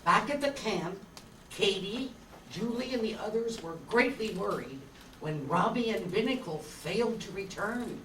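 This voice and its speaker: A woman's voice: medium pitch, lively intonation, measured pace, a bit bright. Distant woman narrator in echoey room. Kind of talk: scripted radio-serial narration. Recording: roomy, distant mic, faint noise.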